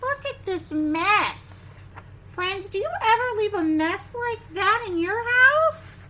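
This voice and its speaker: A woman leads story time for preschoolers in a high, bright voice. She takes her time, with a sing-song, exclaiming delivery full of questions to the children. There is some noise behind her. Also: lively intonation, slow pace. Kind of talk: animated read-aloud to young children.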